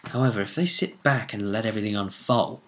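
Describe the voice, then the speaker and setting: A man's voice: lowish voice, quick pace, a bit bright, slight background noise. A man recapping a tabletop fantasy game as game master, telling it casually but dramatically with wry asides. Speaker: game master and series presenter.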